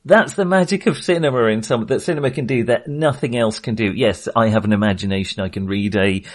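A man's voice: mid-range voice, fairly quick. A man co-hosting a film podcast, talking casually in a hesitant, self-qualifying way with real enthusiasm.

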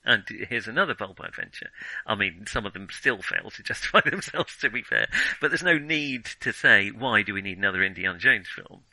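Male podcast co-host, very bright and sharp, dry sound, informal, wry film chat. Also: on the low side, animated, fairly quick, vocal fry, no echo, low-quality recording. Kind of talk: casual film discussion.

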